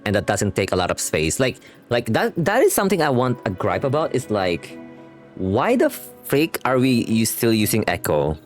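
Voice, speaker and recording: A man's voice: mid-range voice, big pitch swings, moderate pace. A man doing casual, slangy game reaction commentary, rambling along and reading dialogue aloud. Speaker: game streamer reacting to a story quest. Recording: game music playing behind. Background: video game music and dialogue.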